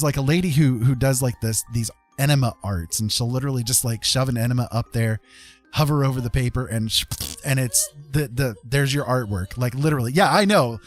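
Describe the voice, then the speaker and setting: A man livestreaming in a casual, rambling way, with a jokey, self-deprecating, colloquial manner. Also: medium pitch. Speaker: live streamer and digital artist.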